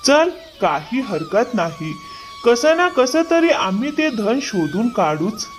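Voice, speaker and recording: A man's voice: extremely high pitch, sing-song, slow pace, slight background noise, full character voice. A man playing a pliable, dim-witted grown son in a cartoon moral tale. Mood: uncertain, conspiring. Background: music.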